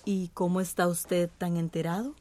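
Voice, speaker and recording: Lady playing scheming mayor in radio drama, soft dark tone, dry close sound, composed n sure of herself. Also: on the low side, flat delivery, normal speed, no room sound, natural voice. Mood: guarded. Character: female mayor, composed, calculating, loyal to power. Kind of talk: Spanish-language radio drama acting.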